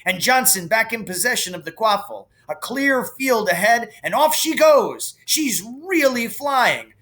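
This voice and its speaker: Man acting high-pitched, excitable young match commentator. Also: unhurried, full character voice. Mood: excited. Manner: breathless, exclaiming, partisan.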